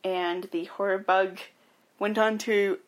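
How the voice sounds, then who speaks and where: A woman's voice: mid-range voice, level tone, slow, poor audio. A woman co-hosting a casual podcast, chatting and recapping information in an informative way. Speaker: podcast co-host.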